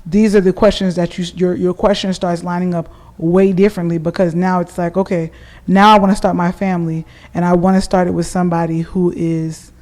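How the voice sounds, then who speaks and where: A woman's voice: lowish voice, brisk. Frank woman chatting on a podcast. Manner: frank, relaxed, thinks aloud with fillers. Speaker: podcast co-host. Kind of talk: casual chat.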